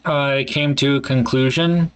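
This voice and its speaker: A man in a candid solo vlog, thinking aloud in a halting, hesitant way and swearing casually. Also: medium pitch, steady tone, smooth. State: congested. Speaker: vlogger.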